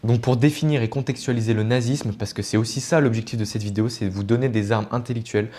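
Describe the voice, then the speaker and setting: A man's voice: mid-range voice, steady tone, fast talker, dark tone. A man giving informal explanatory commentary as a video essayist, earnest and didactic, often digressing and correcting himself.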